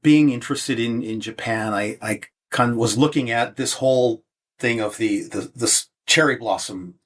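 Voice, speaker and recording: A man's voice: medium pitch, moderate pace. A man of seventy from the United States, talking as a guest in a casual interview, hesitant and full of restarts. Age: 70s. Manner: hesitant, many restarts and fillers.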